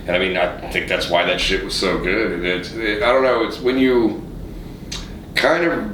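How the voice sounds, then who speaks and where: A man with a low voice hosts a casual podcast. He talks informally and with enthusiasm, cursing freely. The sound is roomy and a little distant from the mic, with faint noise. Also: fairly even intonation, moderate pace. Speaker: podcast host. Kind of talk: casual chat. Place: echoey studio room.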